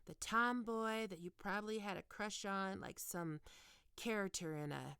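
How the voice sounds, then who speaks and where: A woman playing a stern, teasing goth college professor in an ASMR roleplay, speaking deliberately and condescendingly into a close microphone. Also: medium pitch, pushed and bright, clear, resonant tone, dry recording. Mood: smug, teasing. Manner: condescending, taunting, deliberate with pauses. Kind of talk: ASMR roleplay, scripted monologue to listener.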